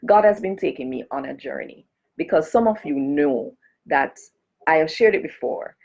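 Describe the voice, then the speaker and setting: A woman's voice: deep voice, lively intonation, moderate pace, creaky, a little mellow, breathy edge. A woman from Jamaica chatting casually on a live stream as a cultural advocate, greeting and speaking straight to her viewers. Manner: chatty, greets and addresses viewers directly, gives blessings.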